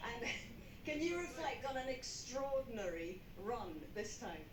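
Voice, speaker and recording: A woman's voice: a little high, sing-song, measured pace. A woman interviewing players on stage in a large indoor arena, polished and upbeat as she addresses the crowd. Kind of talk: live post-match presenting. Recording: echoey arena with loud noise.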